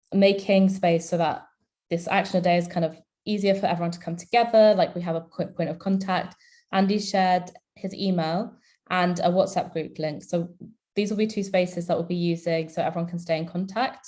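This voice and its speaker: A woman's voice: fairly low pitch, dark tone. A woman campaigner with a cold, talking casually on an online rally video call, with many fillers and restarts. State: has a cold.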